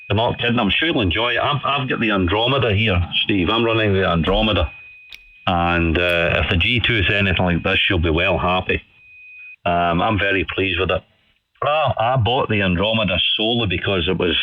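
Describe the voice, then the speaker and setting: Guy on ham radio, low voice, chatty n polite, dull radio sound. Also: no room sound. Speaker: amateur radio operator. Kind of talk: casual ham radio chat. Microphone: studio condenser microphone over radio. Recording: dull band-limited shortwave radio signal.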